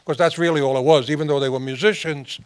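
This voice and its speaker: Male host, upper-middle pitch, loose repetitive chat with laughs. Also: steady tone, fast, smooth. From New York. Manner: laughs often, repeats himself. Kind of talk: casual interview chat.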